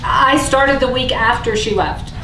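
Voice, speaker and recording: A woman's voice: fairly high pitch, unhurried, gravelly. A woman reading the part of a curious, sympathetic hotel housekeeper in a staged play. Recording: distant mic, echoey noisy room.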